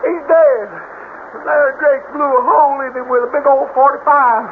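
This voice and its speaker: Very high-voiced backwoods Southern young man. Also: steady tone, moderate pace. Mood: shaken. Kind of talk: radio drama acting. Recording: tinny, faintly hissy old radio broadcast.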